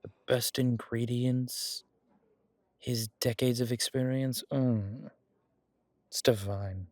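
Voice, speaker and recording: A man playing a charming, smitten mafia boss on a date in an intimate, conversational audio roleplay, teasing and earnest with a husky voice. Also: mid-range voice, fairly even intonation, slow, no echo, lightly acted. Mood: playful, bantering.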